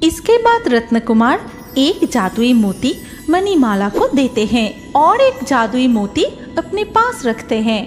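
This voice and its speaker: A woman's voice: high voice, big pitch swings, slow. A woman reading scripted narration for a fairy-tale cartoon. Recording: faint music behind the voice.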